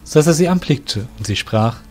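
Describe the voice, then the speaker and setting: A man's voice: on the high side, expressive, a little fast, a little mellow, soft background music. Man narrating a radio play. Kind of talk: scripted storytelling narration.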